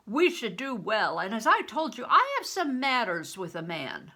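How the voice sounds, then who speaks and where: Storybook big gruff man, played by a woman, commanding, solemn, blunt, forceful delivery, lively pitch. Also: moderate pace, exaggerated voice. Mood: solemn, evasive. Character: big, gruff, commanding middle-aged male traveling mummer.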